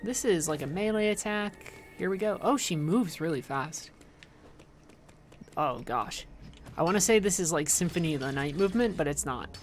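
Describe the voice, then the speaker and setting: A woman's voice: on the low side, lively intonation, normal speed, slight background noise. A woman doing casual livestream game commentary, chatting informally with viewers and rambling into playful asides. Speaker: livestream host playing a video game.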